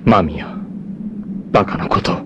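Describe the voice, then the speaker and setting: A proud, fierce young warrior from a 1980s anime. His voice sits fairly low, and his lines come grave and intense, breaking into anguished shouts. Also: slow, highs cut off, over music. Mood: resolute. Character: proud young male martial arts warrior, fierce and devoted.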